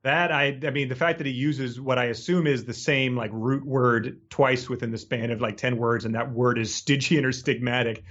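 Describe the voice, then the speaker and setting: A man co-hosting a podcast in casual book discussion, with halting, repeating phrasing and a slightly lo-fi recording. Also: mid-range voice, steady tone, a bit bright. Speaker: podcast co-host. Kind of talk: casual book discussion with read-aloud passages.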